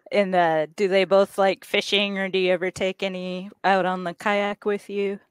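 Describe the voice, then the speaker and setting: A woman in her forties hosting a podcast, asking questions in a relaxed, encouraging way through a headset mic. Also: medium pitch, unhurried, clean tone, no room sound, studio quality. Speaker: podcast host. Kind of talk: casual interview. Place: indoor room.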